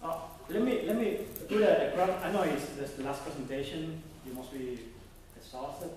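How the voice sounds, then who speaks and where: A young man giving an academic conference presentation, halting and searching for words, often restarting his sentences. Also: on the high side, darkish tone. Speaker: conference speaker. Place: conference hall. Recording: echoey hall from far off with faint noise.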